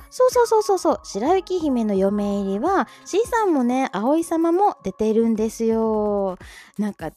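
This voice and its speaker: Woman, casual livestream host, bubbly and giggly, very animated intonation, soft music behind. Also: a little high. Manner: bubbly, giggly, reacts to listener comments. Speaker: host of a short audio livestream, amateur voice-drama club member. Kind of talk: casual chatty livestream announcements. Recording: soft music bed under voice. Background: soft background music.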